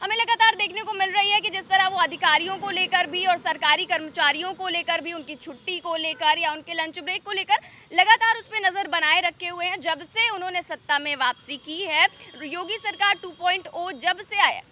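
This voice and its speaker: A woman giving a news report as a reporter, explaining in a halting way with frequent hesitations. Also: extremely high pitch, sharp tone, a little hiss or hum.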